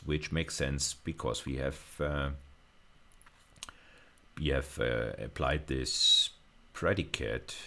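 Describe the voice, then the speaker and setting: Very deep, gravelly male lecturer. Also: fairly bright tone. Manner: hesitant, thinking aloud while demonstrating. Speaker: university lecturer. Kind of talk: informal technical lecture, live demonstration.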